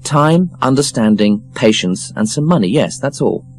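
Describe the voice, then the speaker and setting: A man voicing an earnest, hopeful, gently indignant young woman in an audiobook. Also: mid-range voice, expressive, moderate pace, faint music behind, voice pushed up, natural voice. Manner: earnest, protesting. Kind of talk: audiobook character voice.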